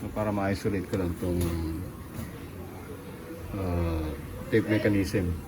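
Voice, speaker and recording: A Filipino man giving a casual hands-on repair walkthrough, speaking haltingly and repeating himself as he works. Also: low voice, fairly even intonation, noisy background. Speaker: electronics repair technician and vlogger.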